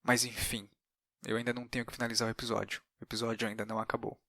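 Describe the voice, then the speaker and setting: A man's voice: mid-range voice, flat delivery, rapid-fire, fairly bright tone, no echo, studio quality. A Brazilian man hosting a podcast, moving between reflective narration and interview talk. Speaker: podcast host.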